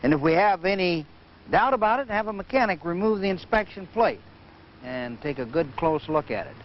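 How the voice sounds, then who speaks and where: Higher-pitched man narrating a vintage training film. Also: lively intonation, normal speed. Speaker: flight safety instructor. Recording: muffled noisy vintage training film soundtrack.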